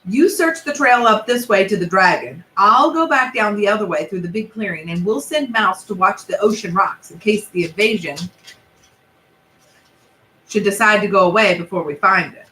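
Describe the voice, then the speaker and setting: A woman's voice: medium pitch, expressive, normal speed, pushed and bright, slight background noise. A woman doing a suspicious grumbling boar. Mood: bossy. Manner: mutters, clipped and suspicious. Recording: echoey room.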